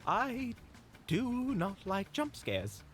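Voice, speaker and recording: An actor playing a playful, skittish monster hunter with a teasing manner in an audio roleplay. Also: male voice, very high voice, huge pitch swings, very slow, background noise, heavily acted. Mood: rattled, playful.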